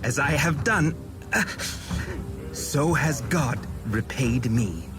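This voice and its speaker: A captured ancient king in an audio Bible drama. He speaks extremely slowly, bitter and beaten, with music clearly playing behind him. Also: mid-range voice, expressive. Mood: bitter, resigned. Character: captured, defeated Canaanite king, grimly laughing at his fate.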